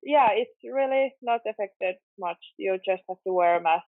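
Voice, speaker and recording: A young woman from Finland speaking English with a Finnish accent as a podcast guest, slow and hesitant with many fillers. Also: mid-range voice, no room sound. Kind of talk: casual interview chat. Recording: tinny phone-like call audio.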